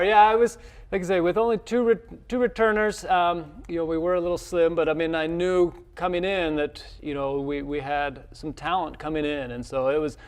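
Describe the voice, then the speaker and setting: Male college coach, slightly high voice, casual interview, halting and repetitive delivery. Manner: halting, repeats words and restarts often.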